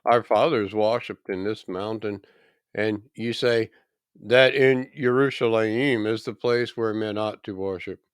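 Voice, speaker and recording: An earnest village woman of scripture, read by a man in his own low register. The questions come slowly and respectfully, with emphatic stress, in a dry narration. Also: fairly even intonation, smooth, dry recording, lightly acted. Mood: earnest, questioning.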